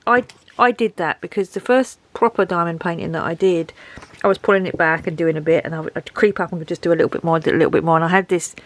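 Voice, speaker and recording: Chatty woman with a head cold. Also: on the low side. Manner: rambling, chatty, self-deprecating, laughs often. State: head cold, dry sore throat. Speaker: craft vlogger showing a haul. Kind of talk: casual chat to camera.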